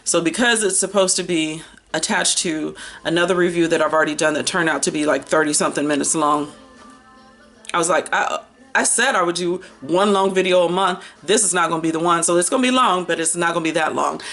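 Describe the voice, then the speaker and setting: An American woman in her early fifties chatting casually about books in an expressive, slangy, playful and rambling way. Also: lowish voice, a little fast, soft background music. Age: early 50s. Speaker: book vlogger. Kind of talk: casual chatty book haul and review.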